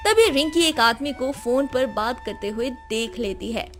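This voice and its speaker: A woman narrating a scripted animated moral story. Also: fairly high pitch, measured pace. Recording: music behind the voice.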